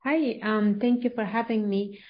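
A woman's voice: mid-range voice, steady tone, a little slow. Interview guest: young woman from Afghanistan, hesitant, many restarts, dull sound. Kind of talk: interview answers.

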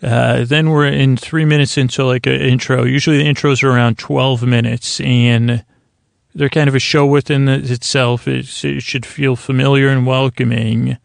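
Male podcast host, gravelly fry, fairly even pitch, halting and circular bedtime rambling. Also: no echo, studio quality. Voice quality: creaky voice. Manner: meandering, halting, circles back on himself. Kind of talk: rambling bedtime-story podcast intro monologue.

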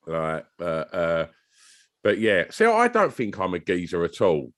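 A British man with a low voice that swings up and down a lot, trading teasing podcast banter with a friend. He laughs often and talks in a loose, casual way. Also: wildly swooping pitch, a little slow, fairly bright tone. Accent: British English. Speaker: podcast co-host.

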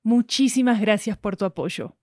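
A woman's voice: medium pitch, normal speed, a little mellow, dry recording. Argentine woman reading a podcast promo, dry sound. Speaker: podcast announcer. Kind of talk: scripted promotional narration.

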